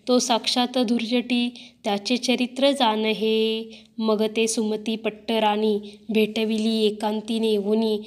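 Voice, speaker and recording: A woman recites devotional poetry line by line in a slightly high voice. She keeps the melody nearly flat and the tempo slow, so the lines come across with a calm, even weight. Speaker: reader of devotional verse. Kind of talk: measured recitation of religious verse.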